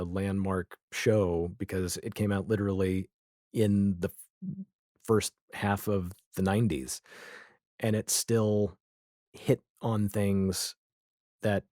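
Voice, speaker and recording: A man's voice: lowish voice, darkish tone, dry recording, clean studio sound. A man hosting a podcast, chatting casually about television in a candid, wry and emphatic way. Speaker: podcast host.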